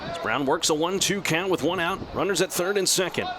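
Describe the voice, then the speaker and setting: A man working as a radio play-by-play announcer, giving live baseball commentary in a measured, descriptive way that lifts with the action. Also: fairly high pitch. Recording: clear outdoor ballpark background noise. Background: outdoor stadium ambience.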